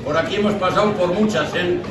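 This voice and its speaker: A man's voice: sits high, a little fast, far from the mic. An older man with an accent from Spain giving an informal, heartfelt tribute speech, his voice emotional. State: emotional, choked up. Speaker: speaker giving a tribute at a farewell dinner. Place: busy restaurant dining room, indoors. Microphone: distant camera across the room. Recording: echoey room from afar, loud crowd.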